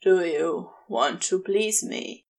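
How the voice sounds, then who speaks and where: A woman's voice: lowish voice, slow, vocal fry, a little mellow, pitched below the performer's own voice. A woman reading the part of an adoring, commanding male lover in prose fiction, earnest and intimate. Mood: breathless, urging.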